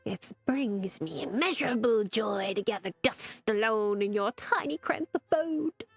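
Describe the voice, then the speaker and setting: A woman voicing a haughty, sarcastic male talking spellbook, with pompous, drawn-out mockery over faint music. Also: medium pitch, lively intonation.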